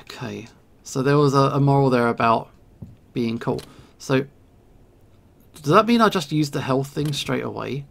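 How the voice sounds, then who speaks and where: A man giving casual let's play commentary, thinking aloud in short, halting remarks. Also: mid-range voice, vocal fry. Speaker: video game player and host.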